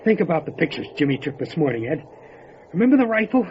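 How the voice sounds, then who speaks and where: A commanding small-town sheriff, terse and urgent. His voice sits high for a man and moves dramatically. It sounds like a slightly muffled radio horror play. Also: big pitch swings, quick pace, a little hiss or hum. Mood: grim, reasoning. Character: tough, commanding male sheriff facing horror.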